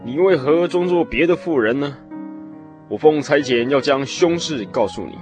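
An actor playing an aged, stern blind prophet who pronounces doom solemnly in a radio drama. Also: male voice, on the high side, animated, normal speed, natural voice. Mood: grave. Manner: solemn, declamatory. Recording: music behind the voice.

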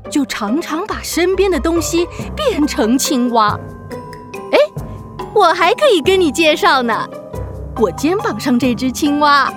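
A woman voicing a kindly, gushing witch aunt who runs a circus, in a children's radio drama. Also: high-pitched. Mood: proud, chatty. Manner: gushing, chatty, full of exclamations. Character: kindly, bubbly witch aunt, frog-doting circus ringleader.

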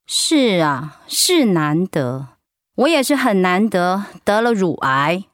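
An actress playing a hurt, outspoken wife recovering from cancer in an emotional, plaintive audio drama performance. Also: female voice, on the low side, big pitch swings, slow, no echo, clean studio sound. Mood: bitter, reproachful.

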